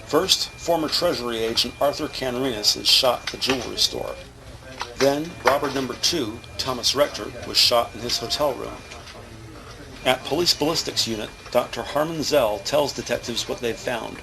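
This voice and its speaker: A man acting as a sardonic narrator of a scripted crime drama, punchy and gravelly. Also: medium pitch, moderate pace, crowd noise, natural voice. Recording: clearly noisy ambient background.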